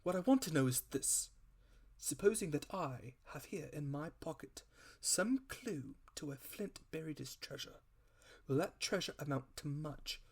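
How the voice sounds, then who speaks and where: A man voicing a calm, genial gentleman doctor in a storybook reading, measured and courteous. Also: fairly high pitch, creaky, slightly rough, full character voice. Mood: measured, probing.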